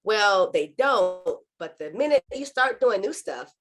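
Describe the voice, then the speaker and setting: A woman's voice: medium pitch, animated, unhurried, lightly acted. A woman playing a cautious, skeptical teacher with a hesitant, questioning manner in a table read.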